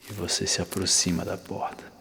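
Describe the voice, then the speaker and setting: A Brazilian man narrating an ASMR guided visualization, calm and instructing, with long pauses between phrases. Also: low-pitched, gravelly, a little hiss or hum. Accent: Brazilian Portuguese. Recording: long reverberant hall-like echo.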